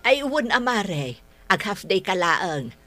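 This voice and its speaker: A woman's voice: mid-range voice, very animated, measured pace, sharp tone. A woman playing a sympathetic friend giving advice in a radio drama, with a Filipino accent. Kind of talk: Ilocano radio drama acting.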